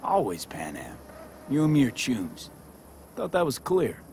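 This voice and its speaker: An actor playing a cool, loyal, streetwise mercenary in a video game, with a terse, casual way of talking. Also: male voice, medium pitch, huge pitch swings, unhurried, a little mellow, a little hiss or hum.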